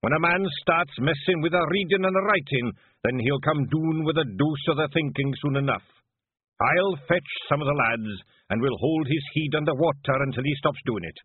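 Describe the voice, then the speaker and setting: A man's voice: fairly high pitch, moderate pace, breathy edge, no echo, phone-quality sound, voice pushed up. A man voicing a tiny, burly clansman with a Scottish accent, blunt and matter-of-fact, in a storybook reading. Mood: grave.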